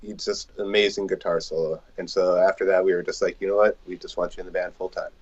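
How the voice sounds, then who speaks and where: A man's voice: low-pitched, flat delivery, fast, breathy edge. A man telling band stories casually in an interview, with laid-back slangy phrasing. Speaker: band member, singer and guitarist. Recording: dull, lo-fi recording.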